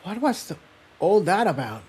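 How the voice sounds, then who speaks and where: A man's voice: high-pitched, very animated, moderate pace, husky, pitched above the performer's own voice, exaggerated voice. A man voicing a curious, anxious female pony adventurer in a story reading, with an uneasy, questioning manner. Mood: puzzled.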